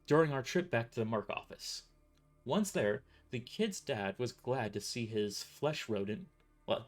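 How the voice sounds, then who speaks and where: A man reading his own first-person story aloud as narrator, often stumbling and restarting his sentences. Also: medium pitch. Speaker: author narrating his novel.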